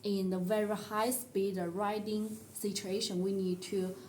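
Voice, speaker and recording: A woman who speaks English as a second language, presenting a product tutorial in a halting, step-by-step way. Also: medium pitch, level tone, darkish tone, slight background noise. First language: not English. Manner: halting, step-by-step delivery with non-native phrasing.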